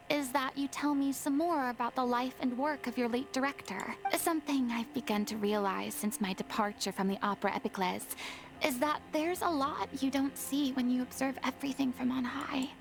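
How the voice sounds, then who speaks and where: An actress playing a proud, theatrical young former stage star in a video game, speaking in eloquent, dramatic monologues. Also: female voice, high-pitched, gravelly, low-quality recording.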